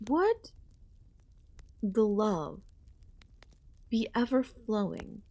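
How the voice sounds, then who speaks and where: Woman acting a slow-spoken, possessive ancient goddess queen. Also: mid-range voice, sing-song, dark tone, no echo, exaggerated voice. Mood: musing, yearning. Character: ancient yandere goddess queen, possessive, lonely, hungry for love. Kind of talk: ASMR audio roleplay.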